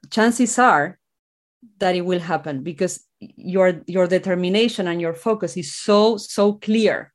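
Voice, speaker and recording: A middle-aged woman from Argentina, a native Spanish speaker with an Argentine accent, telling her own story conversationally as a podcast guest. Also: fairly low pitch.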